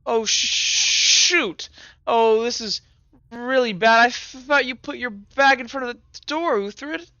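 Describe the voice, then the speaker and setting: A game master voicing a nervous teenage boy companion who stutters and trips over his words, in somewhat higher tones than their own voice. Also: slow, bright, forceful tone, no echo, highs cut off. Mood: alarmed. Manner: stutters and hesitates.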